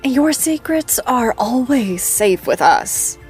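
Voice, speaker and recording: A bubbly, teasing talk show host. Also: female voice, a little high, lively intonation, slow, a little mellow, soft background music. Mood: upbeat teasing. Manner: playful, teasing, theatrical.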